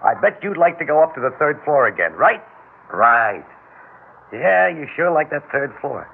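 A man playing a chatty, excitable father coaxing his baby son in an old radio drama. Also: a little high, normal speed, gravelly. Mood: playful, chatty. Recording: noisy, narrow-band vintage radio recording.

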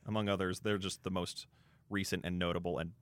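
A man with a fairly low voice talks sports casually as a radio co-host. He thinks aloud a little hesitantly, recorded dry and close. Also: level tone, a little fast, a bit bright, clean tone, no room sound.

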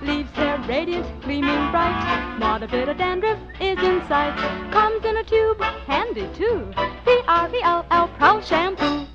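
A woman sings an old radio commercial jingle at a very high pitch. Loud brassy swing music plays with her on a thin vintage recording. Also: animated, a little slow, muffled recording. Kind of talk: sung 1940s radio jingle. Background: loud brassy swing band.

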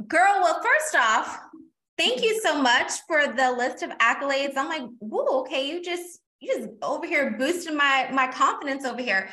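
A woman guest on a podcast, chatty and energetic, talking casually and calling the host "girl". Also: high voice, normal speed. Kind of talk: casual interview chat.

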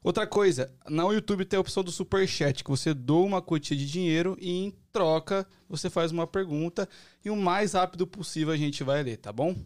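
A man co-hosts a live podcast and sits a bit higher in pitch than most men. He talks in a playful, teasing way and keeps hyping the audience. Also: brisk. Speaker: podcast co-host. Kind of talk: casual live podcast chat.

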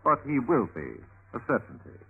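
A man's voice: mid-range voice, big pitch swings, moderate pace, creaky, slightly rough, exaggerated voice. A man acting an ominous, coolly cynical henchman in a Renaissance radio drama, with portentous, clipped, theatrical delivery. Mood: grim. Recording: tinny, band-limited old radio recording.

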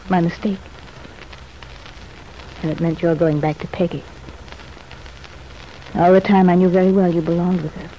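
An actress playing a contrite, earnest young ex-wife in an old-time radio soap opera, speaking in a confiding, measured way. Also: female voice, fairly low pitch, dull audio, close to an everyday voice. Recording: noisy, band-limited vintage radio recording.

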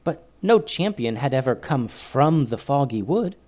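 A man reading a storybook aloud and voicing a wise female forest leader who speaks slowly and solemnly. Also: mid-range voice, very animated, darkish tone. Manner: slow, measured, solemn.

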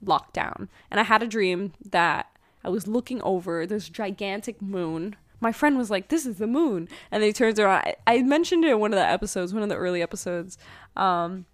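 A woman's voice: mid-range voice, lively intonation, a little fast. A young woman talks through a spiritual card reading, chatty and rambling, stopping often on ums and side thoughts. Her voice sits mid-height for a woman. The sound is dry and close, with no room echo. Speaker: spiritual podcaster. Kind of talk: casual card-reading chat.

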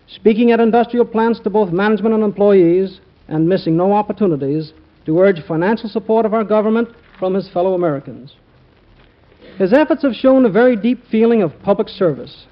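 A man reading a prepared, formal official speech as a government representative presenting an award on a muffled vintage radio broadcast. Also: high-pitched.

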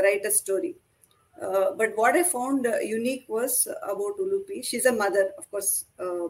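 A woman's voice: medium pitch, poor audio. Woman in her 40s, kannada native, chatting bout her writing, lots of uhs and ums. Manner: hesitant, many fillers. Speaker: author guest. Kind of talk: interview answers.

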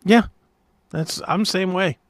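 A man's voice: sits high, takes it slowly, dry recording, studio quality. A middle-aged man co-hosting a talk show, bantering casually about sports in a blunt, argumentative, opinionated way.

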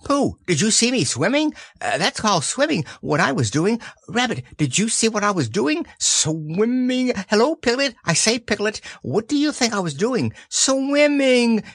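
Storybook baby kangaroo: high, squeaky, proud, excitable, childlike, dry close recording. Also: male voice, takes it slowly, hardly any pauses, no echo, exaggerated voice. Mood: proud, excited. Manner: eager, childlike, boastful.

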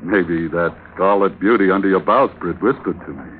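A man's voice: low-pitched, moderate pace, natural voice. An actor, a man, playing a cool, needling sailor after a berth, slangy and unruffled in an old radio drama. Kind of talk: 1940s-50s American radio acting. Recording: muffled, noisy old radio recording.

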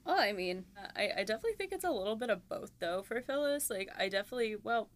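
A woman hosts a casual podcast chat in a medium-pitched voice. She talks informally and rambles a little, reasoning aloud with loose, unscripted phrasing. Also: lively intonation, fast talker, no room sound. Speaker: podcast host and series writer.

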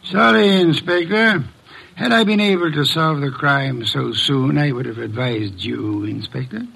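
A self-important, indolent master detective of vintage radio mystery. His voice sits fairly high for a man, with a strong creak. He picks formal words and delivers them with fussy, unflappable deliberation. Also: fairly bright tone, heavily acted, rough recording. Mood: dry, superior. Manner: pompous, formal, deliberate phrasing. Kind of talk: 1950s radio detective drama acting.

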